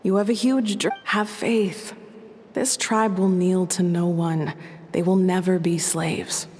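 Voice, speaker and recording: A gentle, slow-talking mentor. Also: female voice, lowish voice, steady tone. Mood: resolute. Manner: slow, soft, caring advice. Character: gentle, wise older female mentor, tender and resolute. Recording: long hall reverb.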